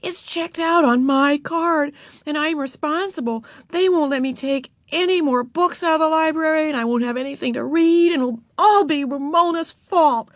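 A woman's voice: on the high side, fairly even intonation, moderate pace, no room sound, clean studio sound, pitched above the performer's own voice, lightly acted. A woman voicing an exasperated, earnest schoolgirl, the older sister in a storybook. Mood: indignant.

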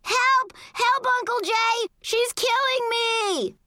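A woman's voice: very high-pitched, lively intonation, moderate pace, pushed and bright, no echo, pitched above the performer's own voice, exaggerated voice. A woman voicing a small kindergarten boy, a whiny tattletale who pleads and screams, in an audiobook story. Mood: panicked screaming.

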